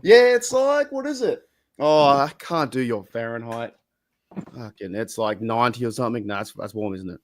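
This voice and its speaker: Australian man with a lively voice. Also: medium pitch, normal speed, slight background noise. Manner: swears casually, laughs often. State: losing his voice. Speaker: podcast co-host. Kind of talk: casual chat. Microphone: video call.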